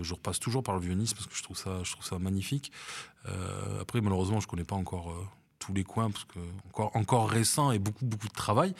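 A middle-aged man from France chatting in an interview, hesitant, with many fillers and restarts. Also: deep voice. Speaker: cinema manager, interview guest. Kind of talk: casual interview chat. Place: outdoors on a seafront promenade.

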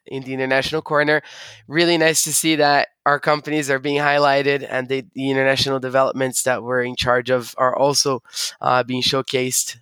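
A man's voice: mid-range voice, bright, forceful tone, clear, resonant tone, dry recording. A young man from Brazil who also grew up in the United States, explaining his sales work as an interview guest. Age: young adult.

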